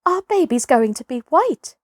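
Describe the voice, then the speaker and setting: A woman voicing a patient, gentle mother seal with a soft, musing manner, for a storybook. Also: high-pitched, big pitch swings, normal speed, no room sound, studio quality, voice pushed up. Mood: calm, matter-of-fact.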